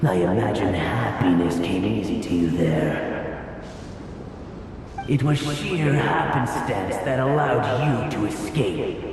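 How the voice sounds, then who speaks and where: A man playing a menacing embodiment of death in a video game, taunting with theatrical, mocking, drawn-out delivery. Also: fairly low pitch, noisy background. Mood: sinister gloating. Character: gloating, menacing male embodiment of death and fate. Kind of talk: dramatic video game villain acting. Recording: cavernous long reverb, distant, under music.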